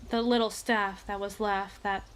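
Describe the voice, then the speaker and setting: A woman playing an obsessive, eloquent evil queen in a dramatic ASMR roleplay monologue, with deliberate, theatrical phrasing and pauses. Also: medium pitch, level tone, clean tone, lightly acted. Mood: hesitant, confessional.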